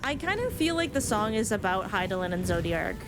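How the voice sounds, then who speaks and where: A woman's voice: medium pitch, big pitch swings, brisk, music in the background. A woman game livestreamer chatting informally and casually with her viewers.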